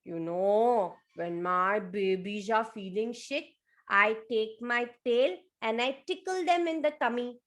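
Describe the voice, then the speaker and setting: A woman doing a slow, gentle mother cow. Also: a little high. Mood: tender. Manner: slow, drawn-out, soothing.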